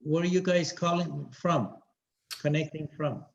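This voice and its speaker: A man's voice: a little high, unhurried, gravelly, slight background noise. A man hosting an online meeting, politely welcoming participants and asking where they are from. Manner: welcoming, courteous. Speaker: meeting host. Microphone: video call. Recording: dull video call audio.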